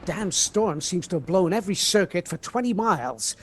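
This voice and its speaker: An actor as a pompous professor with animated delivery. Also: mid-range voice, normal speed, natural voice. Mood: dry, pompous. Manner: pompous, exasperated, dry. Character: irritable, pompous mad scientist professor. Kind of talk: spoof B-movie radio comedy acting.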